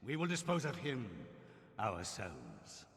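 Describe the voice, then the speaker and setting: An actor voicing a sly, flattering servant priest in a video game cutscene, persuading his master in a fawning tone. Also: on the low side, slow pace, creaky, in a large hall, heavily acted.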